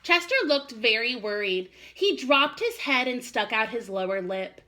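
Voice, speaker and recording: A woman's voice: fairly high pitch, lively intonation, a little slow, sharp tone. A woman first-grade teacher talking reassuringly to young children and reading a picture book aloud. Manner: addresses children directly, reassuring. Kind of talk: read-aloud for young children, chatty address and storybook narration.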